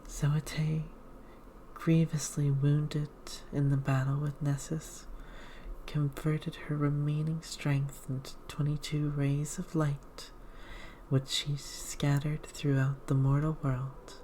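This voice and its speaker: A woman reads a game aloud in a soft ASMR hush that often drops to a whisper. Her voice is low and dark, and she takes her time with a very slow, measured delivery. There is faint typing behind her. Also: little pitch movement, a little hiss or hum, rough recording. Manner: hushed, slow, measured reading with whispered passages.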